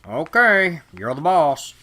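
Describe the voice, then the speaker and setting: A man's voice: fairly high pitch, big pitch swings, slow pace, pushed and bright, exaggerated voice. A man playing a brusque, dismissive businessman in a comic-book reading. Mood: matter-of-fact.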